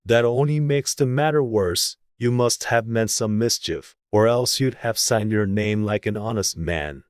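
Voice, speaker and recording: A pompous storybook king, voiced by a narrator, emphatic and blustering yet muddled. The voice sits at a middling pitch and is recorded very dry. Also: unhurried, no room sound, natural voice. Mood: self-satisfied.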